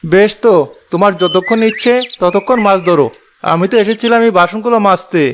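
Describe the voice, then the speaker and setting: A man's voice: high voice, brisk, a little hiss or hum, close to an everyday voice. A man voicing a mild, long-suffering village farmer husband in a cartoon, with a patient, plaintive manner. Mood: teasing. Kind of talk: Bengali cartoon dialogue.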